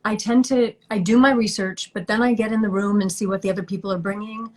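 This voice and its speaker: Female stage actress guest, mid-range voice, warm, thoughtful, laughs easily, video call. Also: mid-range voice, fairly even intonation, quick pace. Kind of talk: interview answers.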